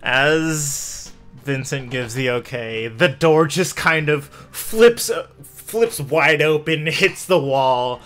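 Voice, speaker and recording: A man's voice: a little high, takes it slowly, fairly bright tone. A man narrates his part of a tabletop roleplay in the third person. He makes up the action as he goes, over faint music. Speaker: roleplay player.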